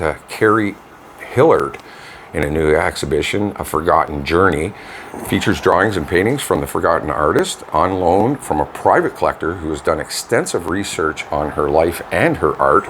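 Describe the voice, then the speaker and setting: A man's voice: rumbling low, animated, normal speed, a bit bright. An older man with a Canadian accent co-hosting a casual local news chat, agreeable and easygoing, sometimes reading items out. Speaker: co-host of a local online radio vlog. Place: home studio, indoors. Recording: clearly noisy home studio recording.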